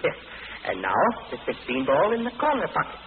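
A man's voice: on the high side, huge pitch swings, fairly quick. Gloating madman, noisy tinny recording. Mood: genial, casual. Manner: theatrical, rapturous soliloquies. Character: gloating mad male arsonist posing as kindly club man. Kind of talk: old radio drama acting. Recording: noisy, tinny old radio broadcast recording.

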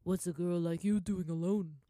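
An impatient, seedy merchant, read by a woman, snaps curt answers. The voice stays near the reader's own, loud and dry. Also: lowish voice, steady tone, moderate pace, no echo, natural voice. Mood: irritable. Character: irritable, seedy male merchant.

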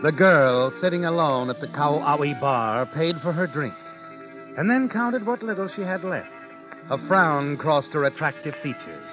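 A sly, insinuating mystery narrator from vintage radio. His voice is slightly high for a man, with clear music behind a muffled broadcast recording. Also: lively intonation, a little slow. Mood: sly, knowing. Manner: insinuating second-person address. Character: sly, knowing male mystery narrator, needling the heroine.

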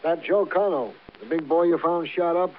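An actor playing an eager, wordy police sergeant with comically formal slang in a 1950s radio drama. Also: male voice, a little high, normal speed, natural voice. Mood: eager, reporting. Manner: colorful slangy cop talk with stilted formal words. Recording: narrow, tinny vintage radio recording.

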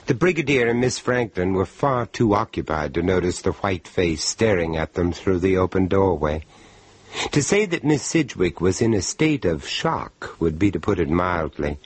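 An actor playing a wry, ominous storyteller, narrating a radio horror drama in a husky, deliberate, sardonic way. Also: male voice, low-pitched, big pitch swings, dry recording, heavily acted. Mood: grave, wry. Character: wry, ominous male storyteller of macabre tales.

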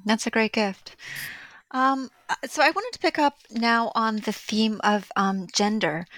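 A woman's voice: mid-range voice, a bit bright, no echo. Woman interviewer, dry close sound. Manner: measured, long carefully framed questions. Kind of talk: scholarly interview questions.

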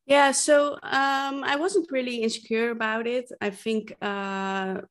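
A woman's voice: fairly high pitch, steady tone, takes it slowly. A young woman from the Netherlands with a Dutch accent, a guest chatting casually in an interview, laughing often and using many fillers. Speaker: guest, clothing brand founder.

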